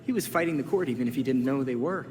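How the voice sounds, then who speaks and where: A man's voice: medium pitch, expressive, racing, background noise, lightly acted. A man playing an earnest, brainy teenage boy vigilante in a game cutscene, reassuring in manner. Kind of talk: video game cutscene acting. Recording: reverberant hall, music behind.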